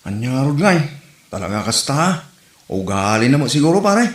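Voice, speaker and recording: A man's voice: mid-range voice, very animated, fairly quick, lightly acted. Man acting an easygoing Ilocano neighbor, echoey room. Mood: reassuring. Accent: Filipino, Ilocano. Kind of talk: amateur comedy drama acting in Ilocano. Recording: roomy echo.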